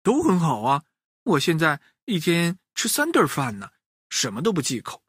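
A man voicing a cheerful mother hen in a children's story, answering a doctor in a raised, bright voice. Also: on the high side, huge pitch swings, moderate pace, husky, dry recording, clean studio sound, full character voice.

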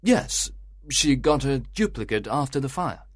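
Actor as a worried husband, very animated storyteller. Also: male voice, medium pitch, unhurried, no echo, clean studio sound. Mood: earnest. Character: worried, suspicious husband recounting his troubling story to a detective. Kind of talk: dramatised audiobook narration.

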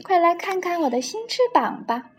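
A woman voicing an excited little penguin who dreams of flying, eager and exclamatory, in a storybook reading. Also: sits high, slow pace, a little hiss or hum, voice pushed up, full character voice. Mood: eager, proud.

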